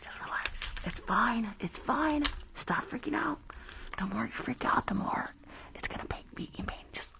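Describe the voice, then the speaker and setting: A shady, impatient female dentist, acted out by a woman speaks in breathy whispers for an ASMR roleplay. She mutters bossy orders and repeats herself in a hurry, gleeful about her crooked work. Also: fairly high pitch, expressive, moderate pace. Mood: falsely reassuring. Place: small indoor room, livestream setup.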